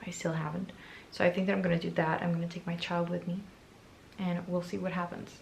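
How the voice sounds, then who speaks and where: A woman in her twenties chatting casually to camera in a book vlog. Her voice is raspy and hoarse from allergies, and she is chatty, excitable and self-mocking. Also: on the low side, a little fast, slight background noise. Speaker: book vlogger.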